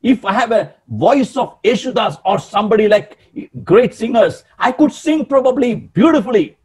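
A man in his sixties preaching a sermon in an emphatic, animated way, often calling out to his listeners, over a webcam in a small room. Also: very high voice, normal speed, breathy edge. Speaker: pastor.

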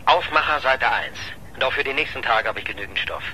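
Sharp, needling newspaper reporter. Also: male voice, medium pitch, expressive, quick pace, slight background noise, rough recording. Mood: needling, sceptical. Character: nosy male newspaper reporter, sceptical, familiar, needling. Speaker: actor in a radio crime drama. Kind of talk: German radio crime drama dialogue.

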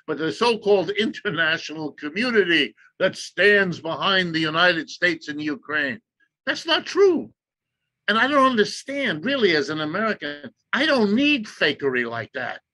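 A man's voice: a little high, sing-song, normal speed, sharp tone. An older man, an economist guest, lecturing emphatically from a home study over a video call and gesturing as he talks. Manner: emphatic, lecturing, gestures animatedly with his hands. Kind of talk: impassioned explanatory commentary.

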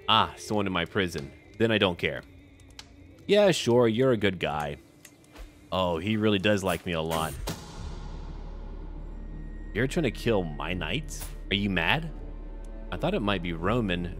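A man's voice: low-pitched, fairly bright tone. A man giving in-character commentary on a strategy game he is playing, thinking aloud in short, clipped remarks as a ruler. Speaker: gaming video host.

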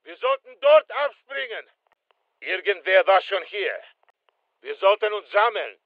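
An urgent male soldier from a military video game, voice pitched very high for a man. He gives short, commanding callouts at a slow, deliberate pace, through a narrow, phone-like sound. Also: sing-song, dry recording, heavily acted. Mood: terse, businesslike. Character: urgent, terse male special forces soldier.

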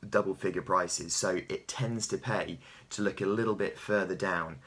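A man's voice: low voice, moderate pace, a bit bright, slightly rough. A man in his thirties giving horse racing tips to camera with an emphatic, punchy delivery. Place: small home office, indoors.

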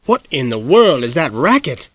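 A man's voice: medium pitch, very animated, normal speed, no room sound. Man doing a folksy middle-aged cook, plain talking, everyday voice. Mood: matter-of-fact.

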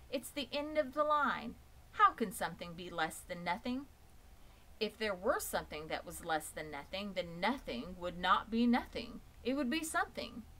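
A woman's voice: medium pitch, fairly bright tone, close to an everyday voice, poor audio. A woman reading aloud and voicing a lonely, earnest young male pig who pleads for a friend. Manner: plaintive, pleading.

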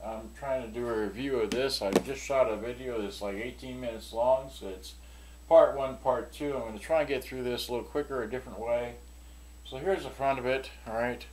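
A man's voice: medium pitch, a little fast, darkish tone. A man giving a casual, unscripted hands-on demonstration as a product reviewer, rambling and muttering to himself as he works. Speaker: product reviewer and seller demonstrating vintage electronics. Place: cluttered indoor workbench. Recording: noisy room recording with clicking sounds.

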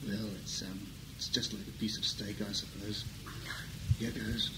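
A man's voice: on the low side, flat delivery, measured pace, noisy background. A man playing a furtive, flustered conspirator in a comic radio melodrama, hesitant and stagey. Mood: hushed, furtive. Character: furtive, flustered male conspirator in a mystery parody.

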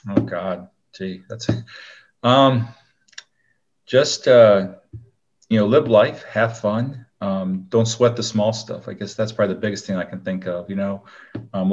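A man with a low-key, laid-back manner, chatting casually as an interview guest. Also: muffled recording. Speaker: guest, actor.

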